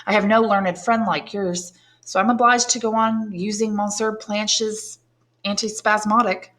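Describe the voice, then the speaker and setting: Woman voicing a high-strung, curious lady. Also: little pitch movement, fairly bright tone, close to an everyday voice. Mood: eager, probing. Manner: raised pitch, eager, slow questioning.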